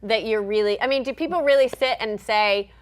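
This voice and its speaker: A woman's voice: on the high side, a little fast. American woman interviewing casually. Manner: agreeable, frequent short affirmations. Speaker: talk show host, interviewer.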